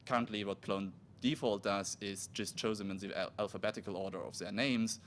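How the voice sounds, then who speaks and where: A man, a native German speaker, giving a technical conference talk, halting and restarting as he explains. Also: lowish voice, steady tone, a bit bright, slight background noise. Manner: halting, with restarts and self-corrections. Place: conference room.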